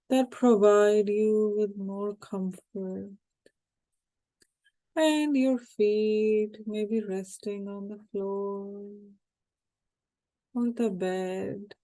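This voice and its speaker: Very slow, soft-toned woman guiding meditation. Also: mid-range voice, low-quality recording. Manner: calm, unhurried, gently suggestive, short phrases with pauses. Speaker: hypnosis guide. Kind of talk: guided meditation, soothing hypnosis narration.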